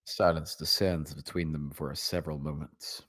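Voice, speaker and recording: A man's voice: rumbling low, steady tone, moderate pace, fairly bright tone. A man reading out stage directions as narrator at a script table read.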